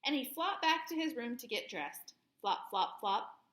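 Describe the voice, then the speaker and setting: A woman host reading a children's story aloud in an expressive, playful way, with plenty of sound words. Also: a little high, unhurried, roomy sound.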